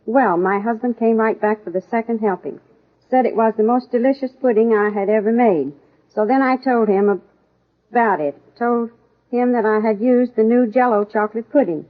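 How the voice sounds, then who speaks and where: Contented housewife, played by a woman, higher voice, reading an ad testimonial over thin vintage radio. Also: normal speed, lightly acted. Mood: pleased. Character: satisfied housewife giving a pudding testimonial. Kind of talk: 1940s radio ad testimonial, read aloud. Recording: tinny narrow-band vintage radio.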